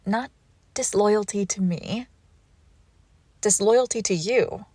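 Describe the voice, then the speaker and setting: A woman playing a suave, controlled villainous ex-lover in an intimate audio roleplay, with measured formal phrasing and soft breathy pauses. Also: mid-range voice, no echo. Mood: exasperated, protective. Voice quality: creaky, often dropping into whisper. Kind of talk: scripted romantic audio roleplay, intimate and slow.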